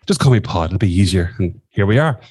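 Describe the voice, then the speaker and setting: Irish male podcast guest, fifties, low and mellow voice, wry conversational storyteller. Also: expressive, fast talker, husky, dry recording, studio quality. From southwest of Ireland. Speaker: podcast guest, former nurse and coach.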